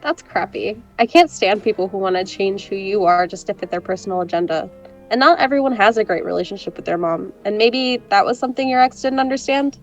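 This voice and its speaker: A woman's voice: medium pitch, a little fast, muffled recording, lightly acted. Sarcastic young girl friend character played by a woman, teasing, audio play, bit of music behind. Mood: sympathetic. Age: young adult. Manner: sardonic, teasing. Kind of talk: scripted romantic comedy audio drama.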